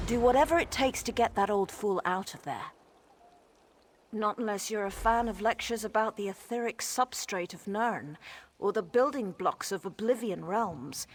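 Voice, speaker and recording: An actress playing an exasperated, wry mage scholar in a video game, explaining things articulately. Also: female voice, mid-range voice, a little hiss or hum, close to an everyday voice. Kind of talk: conversational video game dialogue.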